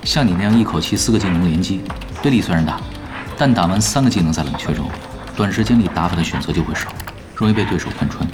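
Low-voiced, laid-back gaming veteran. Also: male voice, animated, fast talker, music in the background. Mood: relaxed, instructive. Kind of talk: Chinese audio drama acting.

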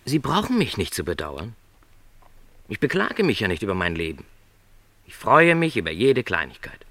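A gentle, modest salesman in middle age in a radio play. His voice sits low and moves expressively up and down as he reassures with earnest, formal phrasing. The sound is dry and intimate. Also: wildly swooping pitch, moderate pace, no room sound, full character voice. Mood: modest, insistent. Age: middle-aged. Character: gentle middle-aged travelling salesman, modest and quietly content. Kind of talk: literary radio play dialogue.

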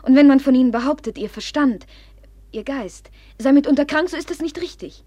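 An actress in a 1950s radio play playing a proud, impulsive young noblewoman who flares up imperiously and then drops to a hush. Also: high-pitched, a little fast, no room sound, lightly acted. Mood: earnest, fervent.

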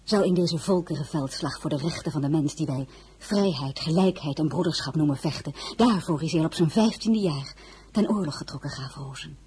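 Actress doing a proud crown princess, mellow and lowish, earnest measured radio play delivery. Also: female voice, a little hiss or hum, natural voice. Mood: solemn, idealistic. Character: poised, idealistic crown princess, proud and gently reproachful. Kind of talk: dramatised historical novel, radio drama acting.

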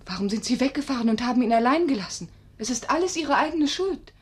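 A dignified young wife in a radio drama. Her voice is very husky and breathy, with formal, restrained indignation. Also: high-pitched, lively intonation, quick pace. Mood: hurt, reproachful. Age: 20s. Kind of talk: German radio play acting.

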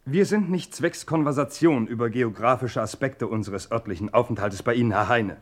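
Man playing a brusque duelling second, mid-pitched, stiff, businesslike, officious, radio drama. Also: moderate pace, natural voice. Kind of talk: German radio play acting.

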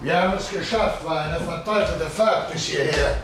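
An actor playing an excited male traveller in a radio play, calling out loudly and heartily. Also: a little high, normal speed. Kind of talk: German radio play acting.

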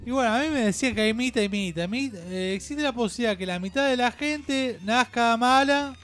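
An Argentine man co-hosting a radio show in rambling, opinionated chat, halting, digressing and repeating himself. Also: very high voice, fairly bright tone, faint music behind.

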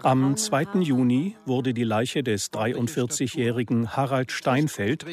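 A formal police announcer played by a man in a radio drama. He reads factually at a middling pitch, with clear crowd babble behind him. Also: a little slow, close to an everyday voice. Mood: official, neutral.

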